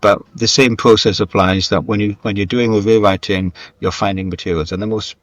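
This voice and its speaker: A man's voice: fairly low pitch, steady tone, a little fast, dry recording. A man speaking as an interview guest in a conversational style, thinking aloud and often repeating his words. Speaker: guest, screenwriter and novelist.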